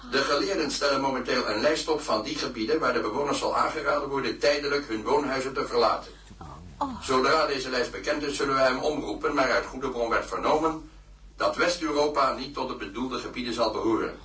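A man's voice: mid-range voice, a little fast, bright, forceful tone. An actor playing a composed male newsreader who formally reads a disaster bulletin, heard through a radio set. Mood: composed, official. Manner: formal, factual. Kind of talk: acted radio news bulletin. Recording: distant, echoey radio with faint noise.

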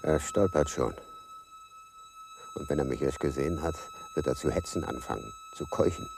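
An actor playing a menacing, contemptuous killer in a radio drama, with a sneering, mock-polite manner. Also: male voice, very high-pitched, huge pitch swings, moderate pace, background noise, full character voice. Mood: watchful, scornful. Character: menacing, contemptuous, cold-blooded male killer. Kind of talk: German radio drama monologue.

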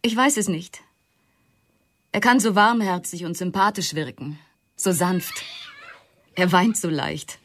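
A woman's voice: lowish voice, unhurried, pauses often, a bit bright. An actress playing a weary young mother in a radio play who speaks in a tense, guarded way. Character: weary young mother, fearful of her brother.

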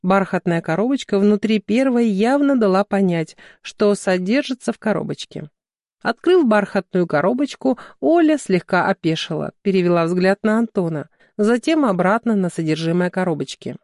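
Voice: mid-range voice, expressive, moderate pace, a little mellow, no room sound, clean studio sound. A narrator reading scripted fiction. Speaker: audiobook narrator.

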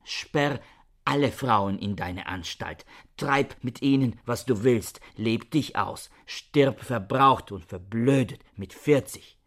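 A man's voice: mid-range voice, takes it slowly. An actor playing a bitter, misanthropic scholar in a radio drama, harsh and lecturing in his contempt. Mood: bitter accusing. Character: bitter misanthropic male scholar, scornful older brother. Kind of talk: German radio drama acting.

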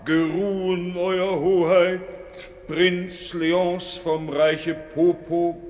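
An actor playing a flustered, ceremonious court preacher in a radio play, halting and solemn, in a reverberant hall. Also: male voice, sits high, flat delivery, a little mellow. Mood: stiffly ceremonious. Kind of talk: theatrical radio play acting.

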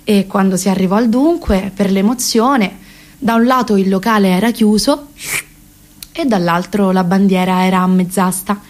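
A young actress performing a comic radio monologue as a self-mocking, exasperated woman near thirty, conversational, ironic and halting. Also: medium pitch, darkish tone, lightly acted. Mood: wry confessional. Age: young adult.

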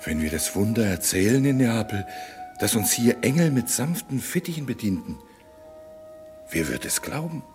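An actor playing a kindly, pious old courtier full of wonder, in a classic radio play. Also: male voice, lowish voice, big pitch swings, moderate pace, soft background music. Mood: reverent wonder. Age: older.

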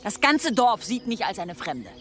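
An actress playing a determined outsider wife, emotional and insistent, in a German radio drama. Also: female voice, a little high, very animated, measured pace, fairly bright tone. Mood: pleading. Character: determined, passionate outsider wife in an old village. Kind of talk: German radio play acting.

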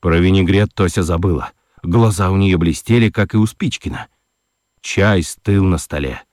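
A man's voice: sits low, normal speed, darkish tone, no echo, studio quality. A man narrating a children's story as a scripted radio narrator. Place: dry studio.

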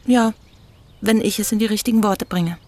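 Actor doing a quiet stubborn reporter in a radio play, short calm answers, mid pitch. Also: moderate pace, close to an everyday voice. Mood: reserved. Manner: brief, calm, firm replies. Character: terse, determined newspaper reporter named Nanda.

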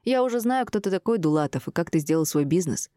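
A woman's voice: lowish voice, expressive, quick pace, mellow, no echo, studio quality, lightly acted. A woman reading the part of a stubborn, insistent ex-husband, an indignant male doctor, in an audiobook. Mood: bitter scorn.

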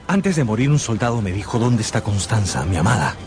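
An actor playing an earnest, passionate musketeer hero in a radio drama, urgent and theatrical. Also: male voice, on the low side, sing-song, a little fast, music in the background.

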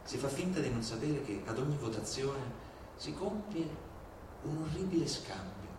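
A man delivers an indignant, rhetorical stage monologue in a medium-pitched voice. He phrases it deliberately, with dramatic pauses. The recording is distant and heavily echoing, with clear noise. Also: animated. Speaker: stage performer. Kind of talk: theatrical read monologue, civic oratory. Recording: very echoey, distant, clearly noisy.

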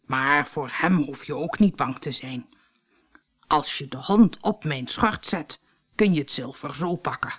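A woman's voice: low-pitched, measured pace, a bit bright, slightly rough, pitched below the performer's own voice, full character voice. Woman playing a coaxing old storybook witch. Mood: coaxing, instructing. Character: old witch woman, coaxing, then furious when refused. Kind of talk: storybook character voice.

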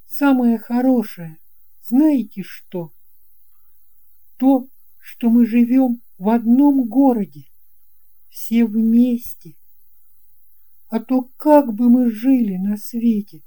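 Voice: mid-range voice, takes it slowly, pauses often, dark tone, pitched above the performer's own voice, natural voice. A performer voicing an earnest young boy in a children's audio story, speaking in an emphatic, childlike and insistent way. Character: earnest young boy recalling lost friends.

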